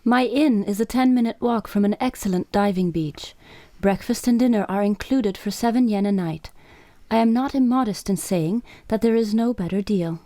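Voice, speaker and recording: Actress as Japanese innkeeper, poised, courteous, formal, mellow dark tone, dry close sound, radio play. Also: female voice, mid-range voice, normal speed, no room sound, natural voice. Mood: courteous, assured. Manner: formal, precise wording. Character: poised Japanese innkeeper woman over thirty, courteous, assured.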